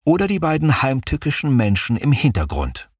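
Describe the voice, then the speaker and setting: A man co-hosting a true-crime podcast, narrating a court case in a measured, scripted way. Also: mid-range voice, huge pitch swings, breathy edge, dry recording, clean studio sound. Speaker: podcast co-host.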